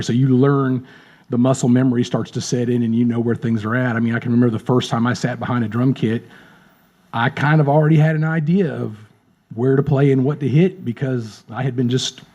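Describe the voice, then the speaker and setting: A man's voice: medium pitch, dark tone. A drummer in his late fifties, chatting as a guest in a casual interview, friendly and rambling, often repeating words. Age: 50s.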